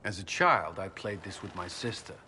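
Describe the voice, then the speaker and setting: An actor playing an earnest, dutiful military commander in a video game, reserved but friendly, with formal, courteous speech. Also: male voice, low-pitched, expressive, moderate pace, sharp tone, slight background noise, heavily acted. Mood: wistful, relaxed. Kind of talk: video game cutscene acting.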